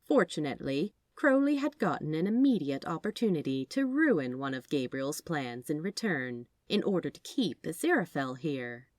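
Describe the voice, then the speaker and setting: Female audiobook-style narrator, lowish register, very animated intonation, dry sound. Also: moderate pace, hardly any pauses, dry recording. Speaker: podfic narrator. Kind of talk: expressive scripted story narration.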